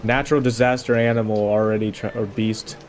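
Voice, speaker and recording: A man's voice: on the low side, little pitch movement, fairly quick, a crowd in the background. A man playing in a tabletop roleplaying show, improvising casual in-character talk with music underneath.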